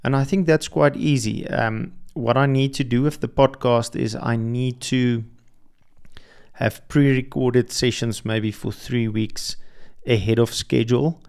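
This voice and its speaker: Slow, gravelly man, Afrikaans native speaker. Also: mid-range voice, steady tone, a little mellow. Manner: hesitant, with frequent restarts and fillers. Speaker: podcast host. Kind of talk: solo reflective monologue.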